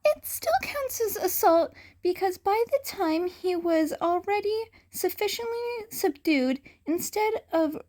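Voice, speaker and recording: A woman's voice: very high voice, a little mellow, pitched above the performer's own voice, exaggerated voice. A woman voicing an earnest, bookish young boy who argues in a pedantic, emphatic way in a fan fiction audiobook.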